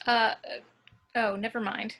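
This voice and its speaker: A woman's voice: on the high side, little pitch movement, takes it slowly, fairly bright tone, full character voice. A woman at an amateur table read playing a sassy, dryly teasing college girl.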